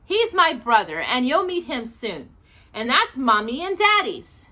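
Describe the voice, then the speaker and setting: A woman reader plays a helpful young storybook girl. She chatters eagerly in a high, bright voice with lively, reassuring intonation. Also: a little slow, exaggerated voice.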